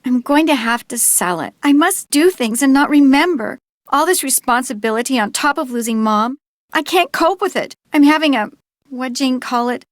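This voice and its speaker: A woman's voice: high-pitched, animated, normal speed, dry recording, clean studio sound. A woman playing an anxious, grieving teenage girl in an adventure game, speaking in an earnest, hesitant way. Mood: distraught. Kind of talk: scripted adventure game dialogue.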